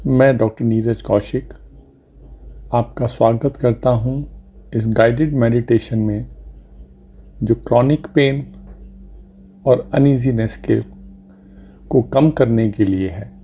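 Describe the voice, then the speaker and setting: A man leading a guided meditation as a doctor, speaking gently and calmly in short phrases with long pauses. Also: mid-range voice, breathy edge. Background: music under the voice.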